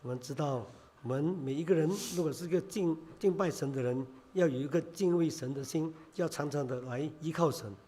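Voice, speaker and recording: A man preaching a sermon in Chinese, hoarse from a cold, halting with many filler sounds. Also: fairly high pitch, warm tone.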